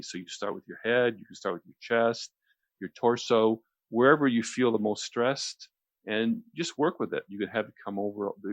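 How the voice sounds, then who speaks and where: A man with a deep voice explains a relaxation technique conversationally as a radio host, often circling back and repeating phrases. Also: no echo, muffled recording.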